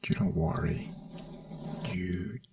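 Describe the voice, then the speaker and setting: Non-native english asmr doctor roleplay, slow breathy whispering, a bit distant from the mic, gentle and reassuring. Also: low-pitched. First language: not English. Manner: gentle, reassuring personal attention. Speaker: ASMR performer playing a brain doctor.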